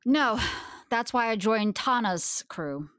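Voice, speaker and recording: An actress playing an arrogant, tough gunslinger crewmate in an audio drama, speaking in a dry, clipped, cocky way. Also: female voice, on the high side, expressive, takes it slowly, fairly bright tone, dry recording. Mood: frank, probing.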